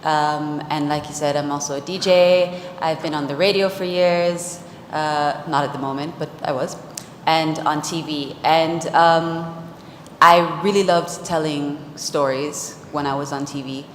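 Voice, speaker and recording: Low-voiced woman. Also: steady tone, a little slow. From Jamaica. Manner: open, personal, many fillers. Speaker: vlogger and DJ. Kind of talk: panel discussion. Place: large conference hall on stage. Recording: echoey hall with faint noise.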